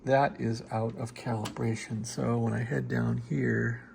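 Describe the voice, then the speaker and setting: Man, gravelly fry, medium pitch, laid-back halting hobbyist show-and-tell, faint background noise. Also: little pitch movement, darkish tone. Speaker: hobbyist showing a restored radio receiver. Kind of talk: casual rambling show-and-tell.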